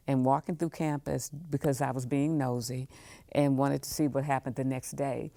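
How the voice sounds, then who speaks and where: A woman's voice: very deep voice, creaky, dark tone, no echo. A woman speaks reflectively as an interview guest, repeating phrases for emphasis. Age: 60s. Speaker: museum professional, podcast guest.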